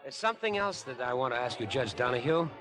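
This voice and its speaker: An actor playing a smooth, eccentric young man obsessed with murder in a 1950s radio drama, with a glib, insinuating charm. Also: medium pitch, big pitch swings, quick pace, natural voice. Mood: sly, insinuating. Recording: vintage 1950s radio broadcast with music.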